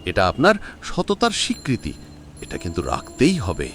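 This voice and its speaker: A man playing a generous, formal wealthy businessman in a cartoon, speaking in a measured, benevolent way. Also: sits low, huge pitch swings, a bit bright, a little hiss or hum, heavily acted. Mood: kindly, insistent.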